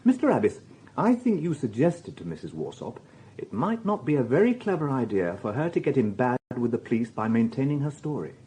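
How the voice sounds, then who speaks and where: An actor playing a dry, insistent police inspector with a British accent, questioning in a measured, sardonic way in a radio drama. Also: male voice, mid-range voice, big pitch swings, mellow, close to an everyday voice, poor audio. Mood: dry, probing.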